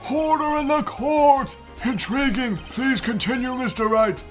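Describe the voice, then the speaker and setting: A man voicing an elderly, stern and dignified judge in a video game fan dub, speaking formally and with measured pomp. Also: extremely high pitch. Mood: commanding. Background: game music.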